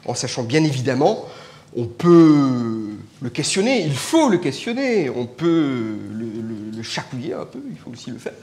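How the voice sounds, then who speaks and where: A man's voice: medium pitch, measured pace. A man, a political scientist and politician from Mauritius, arguing his point emphatically in a live panel debate. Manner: argumentative, animated, emphatic. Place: indoor public event.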